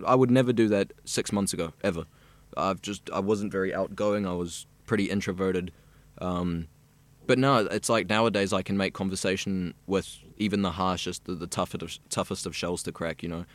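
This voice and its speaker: A man's voice: low-pitched, dry recording. A young man from South Africa, a radio student chatting easily and at length in an interview. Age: young adult. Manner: chatty, rambling and easygoing. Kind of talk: casual interview chat.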